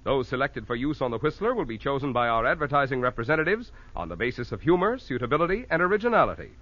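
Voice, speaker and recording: A man's voice: medium pitch, sing-song, quick pace, a bit bright. A male announcer doing 1940s radio sponsor announcements in a polished, emphatic style. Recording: dull old 1940s radio recording.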